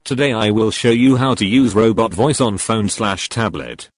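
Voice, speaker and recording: A man's voice: lowish voice, moderate pace, no echo. A synthetic, robotic male text-to-speech voice reading a scripted tutorial in a stiff, evenly phrased way. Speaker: tutorial video host.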